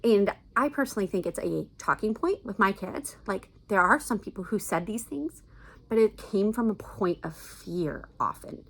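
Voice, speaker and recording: A woman's voice: mid-range voice, animated, normal speed, gravelly, slightly rough. A middle-aged woman giving casual, chatty recommendations as a book vlogger, with an enthusiastic, emphatic manner.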